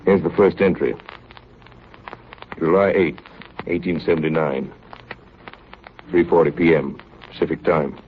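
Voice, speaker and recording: An actor playing an elderly, plainspoken retired naval engineer, reminiscing in 1930s radio drama narration. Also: male voice, rumbling low, fairly even intonation, a little slow, pauses often. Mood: reflective. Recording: muffled, noisy 1930s radio recording.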